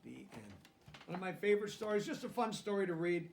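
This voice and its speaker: A man reading a children's picture book aloud, deliberately, with simple explanatory asides in plain words. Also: sits high, animated, creaky, recorded from a distance, slight background noise. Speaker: storybook reader.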